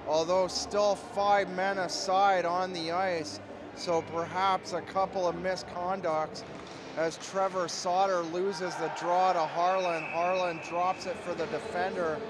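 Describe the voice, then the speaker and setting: A man with a cold, hoarse and losing his voice, calling ice hockey play-by-play in a steady, measured way from an arena. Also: high-pitched, background noise. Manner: steady, measured call that climbs to shouting on goals. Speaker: play-by-play commentator.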